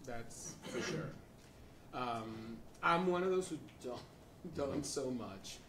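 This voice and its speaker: A man's voice: medium pitch, slow. Guy moderating a panel, laid back and wry, lots of ums, sounds far off and echoey. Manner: relaxed and wry, with hesitant fillers. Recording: roomy sound from a distant mic with faint noise.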